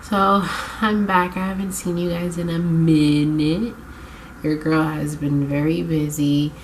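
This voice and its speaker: A young woman with a low, congested voice chats to her camera as she gets over a cold. She rambles and corrects herself as she goes. A faint hiss of room noise sits behind her. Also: fairly even intonation, measured pace. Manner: chatty, rambling, self-correcting. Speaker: vlogger. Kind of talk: casual chat to camera. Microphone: camera mic.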